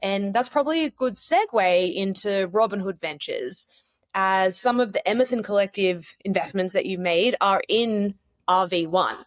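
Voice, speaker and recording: A woman's voice: medium pitch, moderate pace, no room sound, clean studio sound. A woman, hosting a podcast interview in an upbeat, enthusiastic way. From Australia. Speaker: podcast host.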